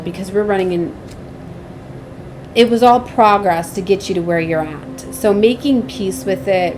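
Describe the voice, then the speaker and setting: Chatty woman rambling over background noise. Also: mid-range voice. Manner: chatty, informal, often trailing off mid-thought; frequently seeks agreement with tag questions. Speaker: tarot reader and spiritual channel host. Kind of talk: casual, rambling spiritual tarot reading.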